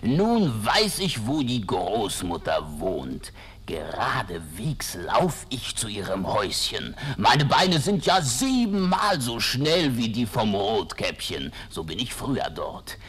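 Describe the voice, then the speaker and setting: An actor playing a sly, greedy wolf in a fairy-tale audio play, husky and breathy, scheming with hums and drawn-out words. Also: male voice, on the low side, big pitch swings, a bit bright, heavily acted. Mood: gloating, greedy. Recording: noisy 1970s vinyl radio-play rip.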